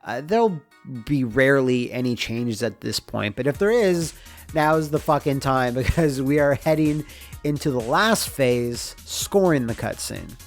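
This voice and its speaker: Male narrator, mid-pitched, informal game-developer explainer, conversational delivery, music bed underneath. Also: animated, measured pace. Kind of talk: informal scripted narration of a behind-the-scenes explainer.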